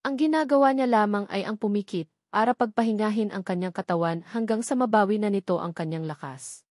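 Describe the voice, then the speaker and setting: A woman's voice: medium pitch, a little fast, a little mellow, no echo. A woman reads a dramatic novel aloud as a scripted narrator. Her voice sits in a middle register, and the recording is dry with almost no room sound. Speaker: audiobook narrator.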